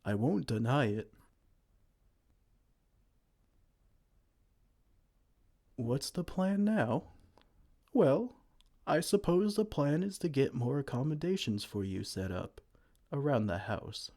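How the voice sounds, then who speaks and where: Here is a man playing a kind, gentle giant who looks after a tiny human, his voice soft and soothing with tender pet names. His tone is mellow and dark. He speaks slowly, and his pitch rises and falls warmly in this ASMR roleplay. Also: sing-song. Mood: fond.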